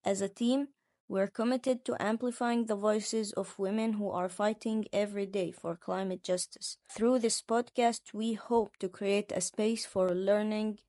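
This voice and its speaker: A woman's voice: medium pitch, steady tone, a little slow, mellow, no echo. Earnest young Palestinian woman, dry sound. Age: 20s. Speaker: podcast co-host. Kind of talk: scripted podcast reading.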